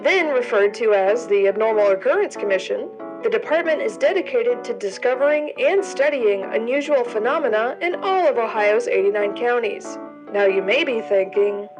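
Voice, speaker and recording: A woman's voice: a little high, animated, moderate pace, over music, natural voice, low-quality recording. A woman playing a polished orientation video narrator, over-enunciating an official script in an audio drama. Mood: chipper, official. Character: cheery female corporate orientation narrator, privately exasperated.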